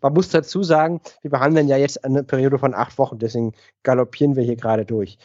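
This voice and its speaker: A man's voice: mid-range voice, steady tone, fast, warm tone, dry recording, muffled recording. A man co-hosting a history podcast, searching for words and adding asides to his partner. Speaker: podcast co-host. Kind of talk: casual history podcast chat.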